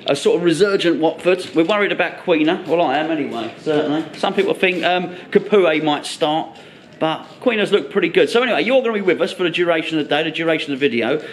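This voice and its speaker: A man hosting a casual match day vlog, rambling along and repeating his phrases. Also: a little high. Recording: noisy handheld camera recording, busy ambience. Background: clear background noise of venue and match.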